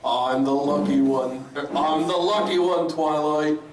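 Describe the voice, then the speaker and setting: A man's voice: fairly high pitch, a little slow, natural voice. A man reading the part of a sweet, caring pony princess in a comedic live dramatic reading. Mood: sweet, playful. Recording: echoey convention room, distant mic, crowd.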